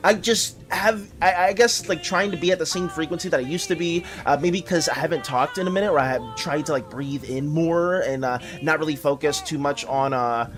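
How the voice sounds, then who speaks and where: High-voiced rambling man, slightly hoarse. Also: brisk, few pauses, soft background music. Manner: rambling, stammering, repeats words; reads chat messages aloud and thanks viewers. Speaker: livestreamer. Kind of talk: casual livestream chat.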